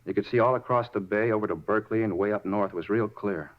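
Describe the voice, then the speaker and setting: An actor playing an unhinged, self-justifying murder suspect in a 1950s radio drama, rambling over small details and repeating himself. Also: male voice, lowish voice, fast talker, close to an everyday voice. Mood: dreamy, insistent. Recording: muffled 1950s radio recording.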